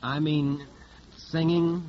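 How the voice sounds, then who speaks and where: A man's voice: a little high, little pitch movement, slow pace, lo-fi sound. A man in an old radio drama playing a weary, brusque record company man who fires off curt questions.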